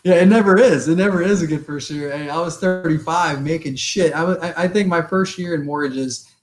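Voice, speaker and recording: A man's voice: fairly high pitch, brisk. A middle-aged man hosting a casual interview, chatting candidly and rambling through his own stories. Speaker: podcast host.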